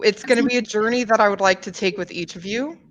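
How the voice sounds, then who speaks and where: A woman chatting about games on a podcast in a casual, playfully deliberate way. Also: medium pitch, highs cut off, a loud crowd. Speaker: podcast panelist. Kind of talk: casual group chat about video games. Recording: faint background noise.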